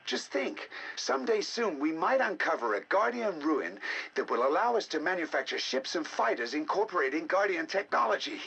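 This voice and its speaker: Bright, animated male scientist, measured delivery. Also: a little high, vocal fry, slightly rough, full character voice. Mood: fascinated, eager. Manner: measured, articulate, intrigued. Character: learned male researcher, eager and fascinated by alien ruins. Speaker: scientist narrating research logs. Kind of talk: scripted game audio log narration.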